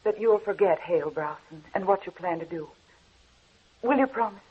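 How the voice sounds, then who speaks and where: An actress playing a proud, ambitious young actress in a 1940s radio drama, speaking with poise and quiet resolve. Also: female voice, on the low side, normal speed, a little hiss or hum, close to an everyday voice. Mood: earnest. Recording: muffled old radio broadcast.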